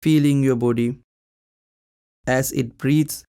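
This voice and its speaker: A man leading a guided meditation as a teacher, speaking in short, calm instructional phrases with long pauses. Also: medium pitch, gravelly, smooth.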